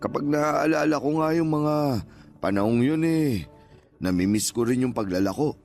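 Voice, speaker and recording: A man's voice: medium pitch, expressive, moderate pace, creaky, darkish tone, faint music behind. Folksy, kindly older father. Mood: warm, reflective. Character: kindly, talkative older father, former street vendor. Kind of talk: Tagalog radio drama dialogue.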